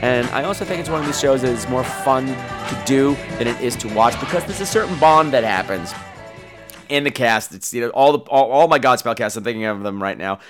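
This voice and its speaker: A man's voice: medium pitch, fairly quick, over music. A man hosting a podcast in a casual monologue, telling stories in a theatrical, catty and blunt way. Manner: theatrical, catty, animated storytelling; profane, blunt.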